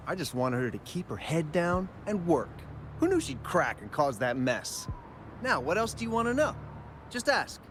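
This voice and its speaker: An actor playing a blustering, cowardly gang boss in a video game, brash at first and then quick to cave and wheedle. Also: male voice, fairly high pitch, noisy background.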